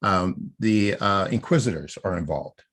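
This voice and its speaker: A man's voice: sits low, unhurried, fairly bright tone. A man co-hosting a casual podcast, laying out his fan theories and often checking in with "right?". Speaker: podcast co-host.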